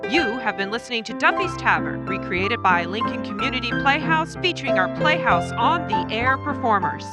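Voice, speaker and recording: A woman's voice: fairly high pitch, expressive, normal speed, over loud music. A woman reading a formal closing announcement as the show's announcer. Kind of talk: scripted announcement.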